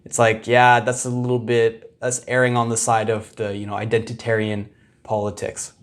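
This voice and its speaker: A young man talking in a casual, loose way as he comments on a clip. He hesitates, restarts and laughs often, with a middle-pitched voice. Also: completely flat delivery, moderate pace. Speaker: commentator, video host.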